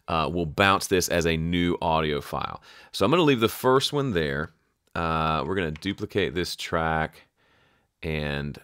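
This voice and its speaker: A man with a low voice talks through a software tutorial in a dry, close studio sound. He is casual and conversational, often thinking aloud and interrupting himself as he explains. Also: no room sound. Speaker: audio production tutorial host. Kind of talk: casual screen-share tutorial talk.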